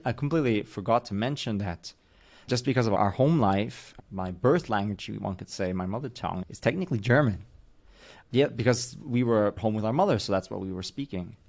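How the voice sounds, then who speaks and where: Gravelly low-voiced man, thoughtful. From United States. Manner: measured, thoughtful storytelling with careful rephrasing. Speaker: podcast co-host. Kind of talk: reflective conversational podcast.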